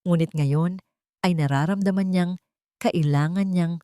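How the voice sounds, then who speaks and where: A woman's voice: on the low side, a little slow, warm tone, clean tone, dry recording, studio quality. A woman with a Filipino accent narrating a scripted romantic drama as a storyteller.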